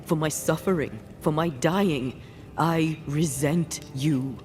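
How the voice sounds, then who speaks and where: A woman's voice: deep voice, slow pace, a little mellow. Grave, resentful ghost woman, music behind. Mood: bitter, sorrowful. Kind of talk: dramatic video game acting.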